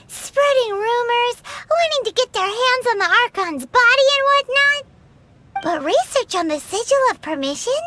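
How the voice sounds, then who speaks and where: A bubbly, greedy little fairy companion, piping in a very high voice that leaps about. She drawls slowly and calls herself by her own name. Game music plays behind her. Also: noisy background, full character voice. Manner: bubbly, speaks of herself in third person. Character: tiny floating female fairy companion, chirpy and greedy. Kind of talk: video game dialogue.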